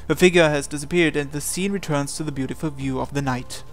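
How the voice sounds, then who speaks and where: A man's voice: fairly high pitch, fast talker, soft background music. A man hosting a game playthrough, reading visual novel narration aloud expressively with casual asides.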